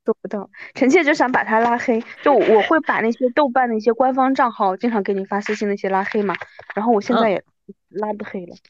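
A woman's voice: fairly high pitch, quick pace, lo-fi sound, noisy background. A woman in her thirties hosting a casual chat with an old friend, with a wry, self-mocking manner. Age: 30s. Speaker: podcast host.

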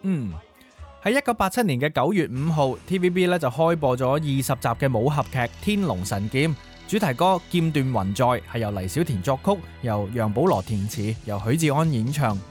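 A man's voice: medium pitch, lively intonation, normal speed, a little mellow. A man co-hosting a music nostalgia programme in a scripted narration style. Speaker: co-host of a Cantopop nostalgia show.

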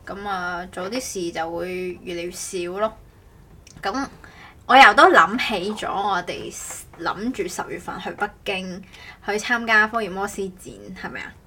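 A woman with a Cantonese accent and a medium-pitched voice hosts a casual podcast. She talks loosely and off the cuff, repeating and rephrasing her thoughts. It sounds like everyday conversation. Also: unhurried, bright, forceful tone, a little hiss or hum. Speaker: podcast host. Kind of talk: casual chat.

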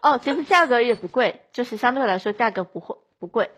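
A woman's voice: fairly high pitch, animated, fast, husky. Chatty woman sharing stories casually. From Chongqing, China. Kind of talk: casual podcast chat.

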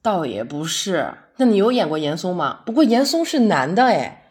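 一位女演员在广播剧里饰演闪送女快递员，说话口语化、直来直去，热心又有点较真。. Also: female voice, on the low side, big pitch swings, normal speed, a little mellow. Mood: quirky, agreeable. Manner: colloquial, blunt, good-natured. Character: chatty, straightforward female delivery courier, earnest and quirky. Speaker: courier in an audio drama. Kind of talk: naturalistic modern audio drama dialogue.

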